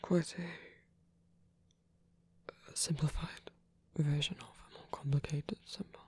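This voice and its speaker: A performer voicing a gentle, protective vampire priest in a soft, husky whisper, tender and hesitant, as intimate ASMR roleplay. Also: medium pitch, fairly even intonation, a little slow. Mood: shy, confiding.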